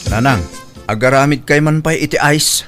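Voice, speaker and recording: A man's voice: fairly high pitch, moderate pace, over loud music, lightly acted. A man with an Ilocano accent acting a stubborn, hard-drinking young suitor who whines and pleads in a comedy audio drama. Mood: impatient whining.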